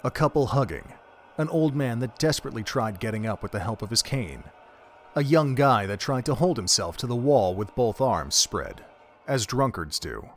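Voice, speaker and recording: A man giving scripted story narration for an audio drama in a measured, even way. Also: mid-range voice.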